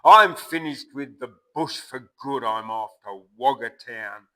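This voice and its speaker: A man playing a huge, angry Australian bushman, ranting in loud, drawn-out bush slang about being wronged. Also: medium pitch. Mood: defiant, bitter. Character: huge angry swagless bushman, cursing his robbers.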